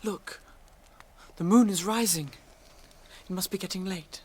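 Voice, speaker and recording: An actor playing a curious, nervous young hobbit in a radio drama, with a British accent. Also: male voice, high voice, unhurried, a little mellow, a little hiss or hum.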